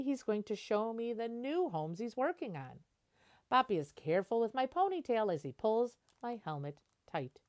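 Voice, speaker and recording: A woman reading a children's picture book aloud like a teacher, expressively, pausing to explain words simply. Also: medium pitch, a little mellow.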